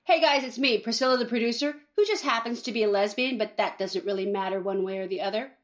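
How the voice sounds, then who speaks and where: A woman's voice: on the high side, close to an everyday voice. Woman playing a sardonic, bossy TV producer. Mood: breezy, callous. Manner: sardonic, brisk, shady. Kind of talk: campy comedic audio drama acting.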